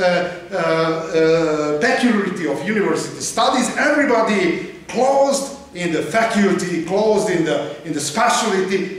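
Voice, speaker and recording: A man's voice: sits high, far from the mic. Hungarian man lecturing in an echoey hall. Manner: halting non-native English with many uh fillers, gesturing while pacing. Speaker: university lecturer. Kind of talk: university lecture. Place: large lecture hall with chalkboard. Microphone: far camera.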